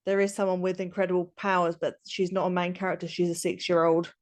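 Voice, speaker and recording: A woman's voice: on the low side, flat delivery, fast. A woman in her thirties, a guest author talking in an interview, full of ums and false starts. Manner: hesitant, many ums and restarts. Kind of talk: casual interview.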